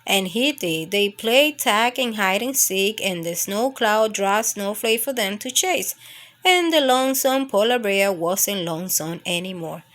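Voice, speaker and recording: A woman's voice: medium pitch, expressive, measured pace, a bit bright. A woman reading a picture book aloud as a storytime narrator for young children.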